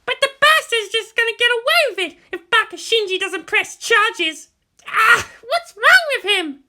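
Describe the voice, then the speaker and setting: A woman voicing a fiery, indignant, bratty teenage girl who talks in loud, huffy accusations in a story reading. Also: very high voice, very animated, a little slow, voice pushed up, full character voice.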